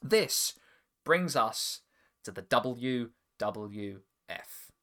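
A man's voice: mid-range voice, expressive, drawn-out words, fairly bright tone. A man with an English estuary accent, hosting a podcast in casual chat, talking loosely with frequent laughs and restarts. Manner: chatty, laughs often, stumbles and restarts. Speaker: podcast host.

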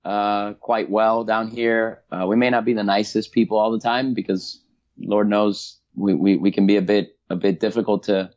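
Hesitant low-voiced man, muffled audio. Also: fairly even intonation, darkish tone. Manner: halting, many repeats and fillers. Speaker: race organizer interviewed. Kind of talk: casual interview answers. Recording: dull lo-fi audio.

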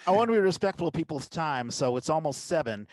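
A man's voice: a little high, animated, fast talker, a little mellow, no echo. A man hosting a podcast interview in a casual, conversational style.

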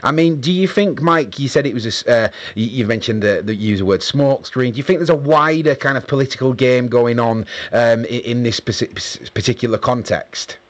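A man interviewing on local radio, asking long-winded questions in a halting, hesitant way. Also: mid-range voice.

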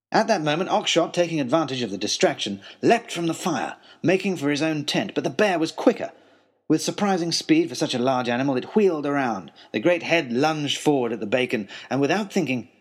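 Male narrator for a first-person adventure story: wry, formal, very animated, with a faintly husky edge. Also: on the high side.

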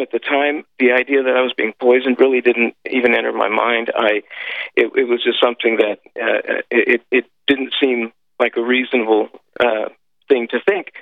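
A man whose first language is French, a guest telling his story in a radio interview, pausing often with filler sounds and restarts. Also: medium pitch, dry recording. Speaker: guest, former intelligence officer. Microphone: phone call. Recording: tinny phone line, dry.

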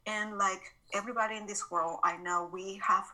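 A woman's voice: mid-range voice, steady tone, normal speed, bright, forceful tone, poor audio. A woman, a podcast guest telling her story in a reflective, earnest way. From Venezuela. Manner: reflective, earnest, with frequent restarts. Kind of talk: personal storytelling in an interview.

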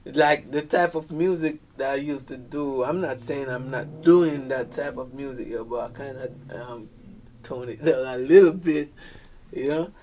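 A man's voice: mid-range voice, a little slow, mellow, slight background noise. Laid-back Jamaican man, distant mic. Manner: laid-back, peppers talk with "man" and "you feel me". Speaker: dancehall musician guest. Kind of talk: casual interview chat.

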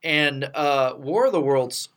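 A middle-aged man from Texas with an American accent hosts a casual behind-the-scenes chat, telling stories in a halting, rambling way. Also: a little high, expressive, fairly bright tone. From Texas, United States. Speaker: podcast host and radio play producer.

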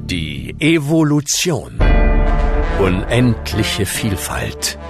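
A grandly dramatic storyteller in a comic radio play. His fairly low voice unfolds slowly with wide, expressive movement, over music. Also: creaky, full character voice. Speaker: narrator. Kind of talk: scripted dramatic narration.

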